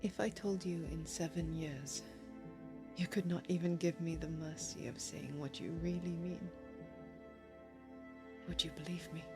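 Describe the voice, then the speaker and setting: A sad possessive lover, poetic and confessional, audio drama with music behind. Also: female voice, deep voice, steady tone, a little slow, long pauses. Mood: quietly wounded. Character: melancholy, possessive heartbroken lover, an actor by trade. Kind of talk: intimate audio drama monologue and dialogue.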